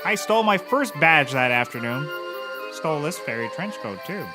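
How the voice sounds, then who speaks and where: An actor playing a middle-aged, self-important and bumbling private detective in a scripted comedy. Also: male voice, fairly high pitch, sing-song, normal speed, over music. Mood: proud narrating. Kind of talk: scripted comedy acting and narration.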